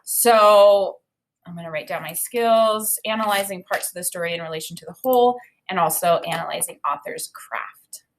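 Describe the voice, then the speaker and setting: A woman teacher reading a children's novel aloud in an expressive way, with informal, explanatory think-aloud comments. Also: on the low side, normal speed.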